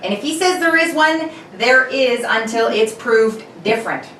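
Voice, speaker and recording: A woman's voice: on the high side, animated, moderate pace, fairly bright tone, distant mic. A woman playing a brusque, impatient male police officer with slangy diction in an old-time radio play. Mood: gruff. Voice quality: slangy tough-cop diction. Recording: echoey room, off-mic, faint noise.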